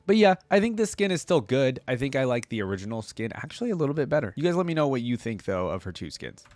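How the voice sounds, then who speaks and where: A man's voice: medium pitch, big pitch swings, quick pace, a little mellow, no echo, faint music behind. Casual man reacting aloud, dry close sound. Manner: informal, chatty, thinking aloud. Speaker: YouTube reaction host.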